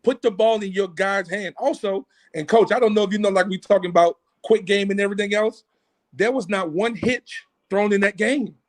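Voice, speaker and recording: Animated male football coach breaking down plays. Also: sits high, fairly quick. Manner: animated, acts out coaches' talk. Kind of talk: casual sports analysis.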